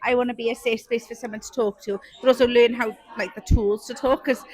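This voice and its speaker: A woman's voice: on the high side, steady tone, fairly quick, darkish tone. A woman hosting a casual podcast interview, bubbly and chatty with frequent giggles. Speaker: podcast host. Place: community event.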